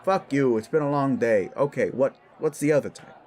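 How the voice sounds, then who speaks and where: A man's voice: medium pitch, normal speed, darkish tone, voice pushed up, natural voice. Man acting a brash, impatient werewolf bully. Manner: impatient, defensive, sweary. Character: brash, short-tempered werewolf bully boss seeking advice. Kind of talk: audio drama dialogue.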